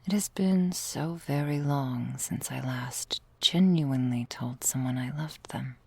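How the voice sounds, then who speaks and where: A woman playing a drowsy, possessive, affectionate ancient vampire girlfriend in a soft, breathy ASMR roleplay, speaking languidly in formal, old-fashioned phrases with a teasing manner, close to the microphone. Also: extremely low pitch, a little slow, dry recording, heavily acted. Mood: tender. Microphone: close microphone, dry.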